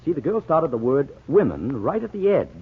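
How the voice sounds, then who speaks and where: A keen American amateur detective in a vintage radio mystery. He has a rough, creaky voice and highly animated, emphatic explanations. The old broadcast sound is thin. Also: a little fast, heavily acted. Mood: keen, reasoning. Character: keen, reasoning amateur sleuth husband. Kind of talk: 1940s radio drama acting. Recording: tinny 1940s radio recording.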